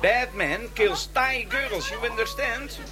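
A man's voice: very high-pitched, slow pace. An actor playing an earnest, plain-spoken Dutch policeman in a radio drama, with a Dutch accent. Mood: earnest, pressing. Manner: plain-spoken, simplified English to foreigners. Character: earnest, squeamish Dutch policeman on a case abroad. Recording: music behind the voice. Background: music.

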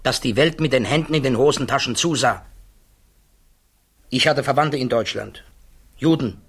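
A middle-aged scientist being questioned in a 1960s radio play. His voice is husky and medium in pitch. He answers with guarded, measured dignity. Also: a bit bright. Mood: troubled, earnest. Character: thoughtful, guarded male scientist under questioning. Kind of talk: 1960s German radio drama acting.

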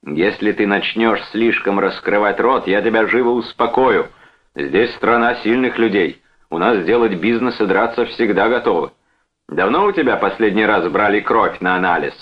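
Bullying brother-in-law played by a male narrator, menacing, sarcastic, loud-mouthed storybook villain on dull audio. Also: low-pitched, moderate pace. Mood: threatening. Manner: blustering, threatening, sarcastic.

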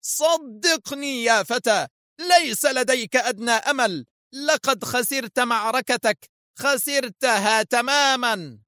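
A cruel, cunning spymaster in a storybook reading, his voice pitched well above the reader's own, as a man puts him on. He drags out his threats slowly and heavily, recorded close and dry with no room sound. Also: bright, forceful tone, no room sound. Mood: gloating. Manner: threatening, slow and emphatic. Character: vicious cunning male spymaster, menacing.

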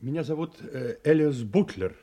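An actor playing a grim, uneasy ship's mate in an audio drama, speaking in husky, hesitant, heavy broken phrases. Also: male voice, mid-range voice, animated, normal speed, warm tone, close to an everyday voice. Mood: grim, halting.

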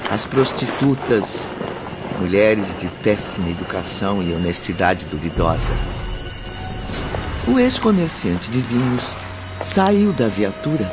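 Animated Brazilian man narrating a radio drama. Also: lowish voice. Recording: muffled, with music behind.

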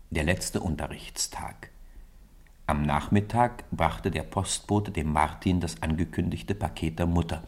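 Middle-aged guy, really low voice, reads a radio story slow and solemn. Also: a little mellow. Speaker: narrator. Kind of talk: scripted storybook narration. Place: radio studio.

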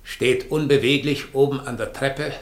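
An actor playing a menacing, commanding doppelgänger in a radio play, speaking deliberately and imperiously. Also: male voice, medium pitch, fairly even intonation, lightly acted. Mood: solemn. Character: menacing, commanding doppelgänger, a man who claims to read thoughts. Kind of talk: 1960 German radio play acting. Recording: echoey vintage radio studio.

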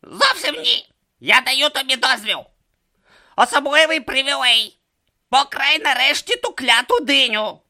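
A man's voice: very high voice, big pitch swings, a little slow, pushed and bright, voice pushed up, full character voice. A man voicing a bossy, grumpy male god trapped as a tortoise, barking impatient orders.

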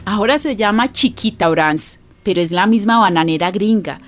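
A woman's voice: medium pitch, lively intonation, normal speed. A woman giving dramatic, storytelling scripted narration for a radio drama. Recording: clear music bed behind.

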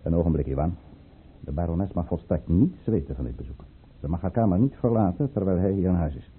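An actor playing a commanding, guarded count and governor in an old-fashioned radio drama, with a formal, deliberate, urbane delivery. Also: male voice, sits low, expressive, slightly rough, exaggerated voice. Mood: brisk, commanding. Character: commanding, guarded male count and governor, coolly courteous schemer. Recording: muffled, phone-like old radio recording.

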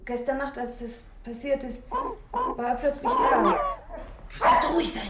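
Woman playing meek waitress, high voice, echoey noisy old radio play. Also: steady tone, normal speed, natural voice. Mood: subdued. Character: meek, dutiful café waitress. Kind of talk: 1960s German radio drama acting. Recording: echoey room, loud noise, old radio recording.

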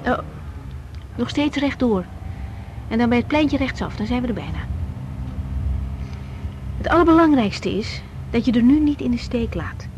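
A woman's voice: a little high, lively intonation, moderate pace, long pauses, darkish tone, muffled recording, a little hiss or hum, close to an everyday voice. An actress playing a young, overworked woman doctor in a radio drama, speaking candidly, colloquially and with emotion. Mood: earnest, explaining. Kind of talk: 1980s Dutch radio drama acting.

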